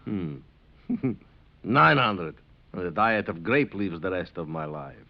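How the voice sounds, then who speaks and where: A man's voice: deep voice, lively intonation, slow pace, vocal fry, full character voice. An actor playing a wily immigrant father in an old radio western, florid and pleading. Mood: pleading, crafty. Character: wily, theatrical immigrant farmer, haggling father. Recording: muffled vintage radio recording.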